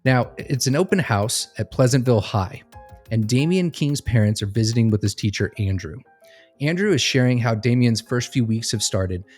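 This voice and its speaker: A man's voice: mid-range voice, darkish tone. A man hosting and narrating a fictional case study in a scripted storytelling style. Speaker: host and narrator.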